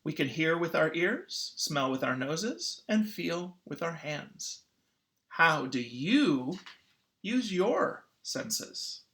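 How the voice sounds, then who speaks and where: Teacher reading a kids book out loud, really animated, voice goes up and down a lot. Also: medium pitch, slow pace. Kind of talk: children's read-aloud narration.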